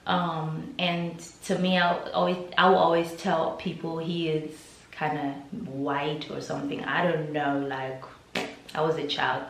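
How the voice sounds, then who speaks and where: A young Nigerian-accented woman speaks in a fairly low voice, taking her time over a personal story. Her telling is reflective and hesitant. The room around her rings with a noticeable echo. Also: creaky. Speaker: vlogger.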